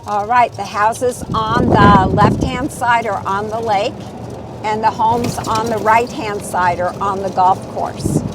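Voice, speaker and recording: Slow, chatty woman giving an outdoor tour. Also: medium pitch, fairly even intonation, heavy background noise. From California. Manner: friendly, chatty storytelling. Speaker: real estate agent. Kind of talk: casual walking tour vlog. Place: outdoors on a lakeside golf course trail.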